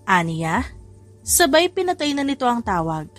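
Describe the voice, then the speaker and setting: A woman's voice: a little high, big pitch swings, moderate pace. A woman reading a scripted story dramatically, as an audiobook narrator. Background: background music.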